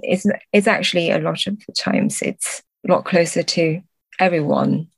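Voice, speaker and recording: Woman interviewee, lowish register, dry recording, reflective and halting, conversational delivery with self-corrections. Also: vocal fry, slightly rough, dry recording. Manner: hesitant, searching for words, self-correcting. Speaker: podcast guest, translator and book editor. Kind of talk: thoughtful conversational interview answers.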